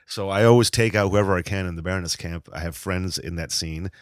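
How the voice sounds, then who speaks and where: A man's voice: low voice, fairly quick, dry recording, studio quality. A middle-aged man from Argentina, a touring musician, chatting casually as a podcast guest, swearing freely and restarting his sentences. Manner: laid-back, swears freely, rambling restarts.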